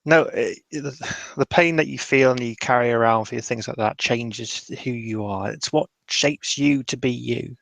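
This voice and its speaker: A man with a creaky, mid-pitched voice improvises roleplay dialogue. He speaks haltingly, circling back to repeat phrases, and the sound is close and dry. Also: a bit bright, dry recording, rough recording. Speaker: tabletop roleplaying player. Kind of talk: improvised in-character roleplay with some narration.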